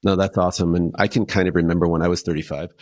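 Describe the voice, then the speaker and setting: Deep-voiced male podcast host. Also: little pitch movement, very fast. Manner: warm backchannel, hesitant restarts. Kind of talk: casual interview chat.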